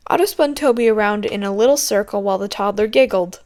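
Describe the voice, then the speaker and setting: A woman's voice: mid-range voice, fast, darkish tone, soft background music. A woman narrating a written story as an amateur audiobook reader.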